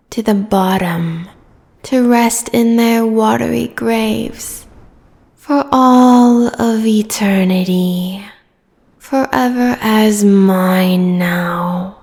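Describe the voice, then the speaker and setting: A woman playing a proud, menacing giant sea goddess in a fantasy audio roleplay, speaking in a haughty, theatrical way with phrases that trail into breathy whispers. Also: on the high side, drawn-out words, darkish tone. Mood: gloating. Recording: long cavernous reverb.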